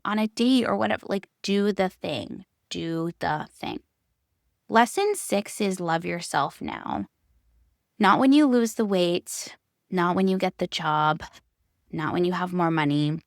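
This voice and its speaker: Low-voiced American woman podcaster. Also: no room sound, clean studio sound. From United States (California), born in Hungary. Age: 30s. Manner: conversational, emphatic, repeats phrases for stress. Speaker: podcast host. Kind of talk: candid solo personal podcast talk.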